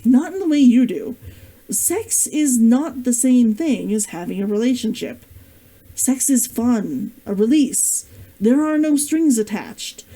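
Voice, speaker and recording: A woman voicing a guarded, gruff male adventurer in a fantasy storybook, speaking tersely and earnestly. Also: a little high, lively intonation, a little slow, warm tone, rough recording. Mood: earnest, insistent.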